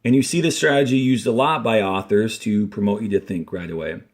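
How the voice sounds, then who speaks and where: A man teaching a grade-school reading lesson, explaining step by step and reading aloud, with pauses on key words. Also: lowish voice. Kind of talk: instructional lesson with passage read aloud.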